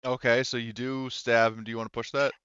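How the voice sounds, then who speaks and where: A man's voice: mid-range voice, level tone, brisk, a bit bright, dull audio, slight background noise. A man running a tabletop roleplaying game, narrating the action casually and swearing freely. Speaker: game master.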